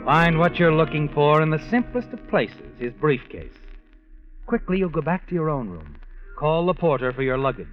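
An actor playing a sly, knowing narrator who taunts the villainess in 1940s radio suspense style. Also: male voice, a little high, very animated, moderate pace. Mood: insinuating. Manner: insinuating, taunting. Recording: muffled old radio recording with music.

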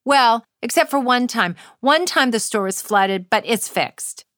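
A woman's voice: on the high side, animated, measured pace, a bit bright, no echo, studio quality, voice pushed up. A woman reading out the lines of a reassuring, earnest female store owner in an essay.

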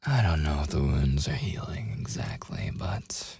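A man playing a gruff, protective werewolf with a secretly tender side in a boyfriend ASMR roleplay, his husky, gravelly voice often dropping toward a whisper as he gives grudging commands. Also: extremely low pitch, sing-song, darkish tone, exaggerated voice. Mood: reluctantly tender. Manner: slow, grudging, possessive, commanding. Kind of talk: boyfriend ASMR roleplay, intimate scripted acting.

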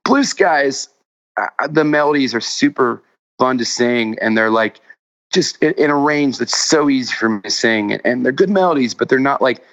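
Male musician guest, casual interview talk, halting and rambling, slightly dull lo-fi sound. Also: medium pitch, expressive, a bit bright. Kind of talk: casual remote interview chat.

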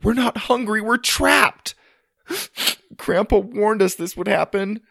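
A man's voice: sits high, big pitch swings, normal speed, vocal fry, breathy edge, dry recording, studio quality, voice pushed up, exaggerated voice. A man voicing a sensible but nervous young girl in a children's story. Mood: despairing.

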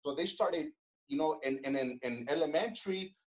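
A man telling his story as an interview guest, in a relaxed way full of street slang, fillers and restarts. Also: a little high, moderate pace, highs cut off. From Los Angeles. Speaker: interview guest, former gang member.

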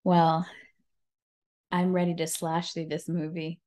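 A woman's voice: on the low side, level tone, slow, a little mellow. A woman in her thirties co-hosting a casual podcast, teasing and upbeat. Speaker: podcast co-host. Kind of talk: casual chat.

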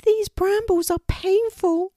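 A woman's voice: extremely high pitch, fairly even intonation, takes it slowly, warm tone, no room sound, clean studio sound, pitched above the performer's own voice. A woman voicing a frightened, plaintive young female raven in a children's storybook. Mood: pained. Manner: pleading, plaintive.

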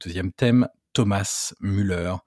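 A man's voice: low-pitched, slow pace, darkish tone, no room sound, studio quality. A man hosting a football podcast who lays out the episode plan in an orderly way. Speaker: podcast host.

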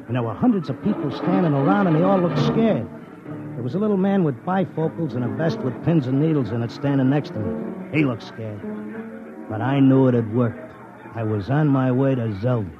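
An actor playing a brash, cynical horse-racing gambler in a classic radio drama, talking in streetwise, wisecracking slang. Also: male voice, mid-range voice, close to an everyday voice. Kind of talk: classic radio drama acting and first-person narration. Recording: dull old radio recording with music.

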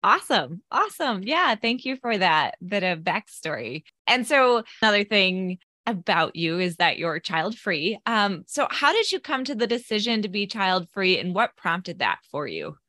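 A woman's voice: mid-range voice, big pitch swings, normal speed, hardly any pauses, sharp tone, no echo, clean studio sound. A woman hosting a podcast as a life coach, welcoming her guest and asking interview questions in an upbeat, friendly way.

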